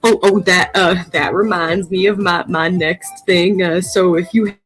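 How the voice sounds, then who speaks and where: Woman as a slow, folksy big-cat lady. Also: fairly low pitch, fairly even intonation, slightly rough, faint music behind. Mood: sweetly folksy. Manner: halting, folksy, sweetly addressing viewers. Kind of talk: comedy sketch of a crafting video.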